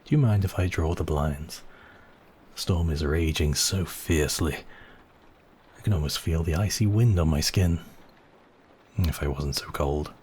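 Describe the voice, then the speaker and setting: Vampire count played by a man for ASMR roleplay: very low, unhurried, seductive and possessive, breathy, teasing. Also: a little mellow, a little hiss or hum. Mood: intimate, musing. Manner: slow, teasing, intimate, with whispered phrases. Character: seductive, possessive male vampire count toying with his captive.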